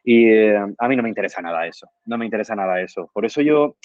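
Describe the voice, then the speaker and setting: A man answering radio interview questions in a reflective way, often pausing with fillers and tag questions. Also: on the low side, lively intonation, a little mellow, no echo, dull audio. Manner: thoughtful, frequent "eh" and tag questions. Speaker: guest, reading promoter.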